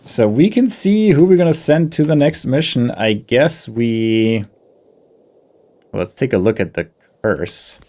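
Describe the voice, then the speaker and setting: A man's voice: mid-range voice, lively intonation, measured pace, dark tone. A man giving casual game commentary, deliberating aloud and talking to himself and to the characters as he plays. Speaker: gaming video host. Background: game music behind the voice.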